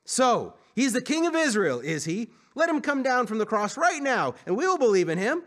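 A man's voice: extremely high pitch, big pitch swings, moderate pace, fairly bright tone, voice pushed up, heavily acted. A man voicing scornful, taunting onlookers and priests in a dramatic scripture story. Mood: mocking. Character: jeering mob of mocking onlookers and priests.

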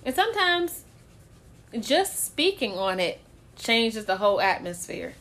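A woman's voice: a little high, sing-song, measured pace, fairly bright tone. A woman talking candidly in a casual chat, blunt and swearing freely, often breaking into laughter.

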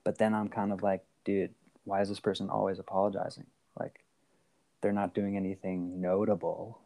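A man's voice: low voice, level tone, moderate pace, dark tone. A man hosting a podcast over a phone call, talking casually with lots of fillers and restarts. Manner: rambling, many fillers and restarts. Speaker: podcast host.

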